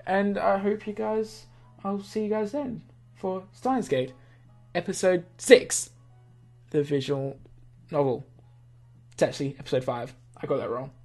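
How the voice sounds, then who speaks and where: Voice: mid-range voice, a little slow, pauses often, a little mellow, faint music behind. A let's play host who reads a visual novel aloud and comments on it in a rambling, self-correcting way.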